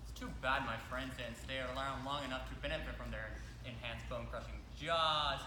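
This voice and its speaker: A man's voice: medium pitch, fairly even intonation, a little fast, lightly acted. A man acting in a comic stage play as a cheerful zombie-attack victim who shrugs off his injuries in a projected, casual way. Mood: mock heroic. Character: upbeat, unbothered young zombie-attack victim, deadpan about lost legs. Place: live stage in a hall. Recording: echoey, very noisy stage recording.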